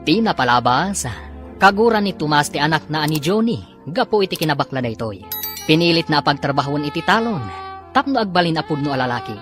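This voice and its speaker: Low-voiced, animated Ilocano woman announcer. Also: fairly quick. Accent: Filipino, Ilocano. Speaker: drama announcer and narrator. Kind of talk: dramatic scripted radio narration. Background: music.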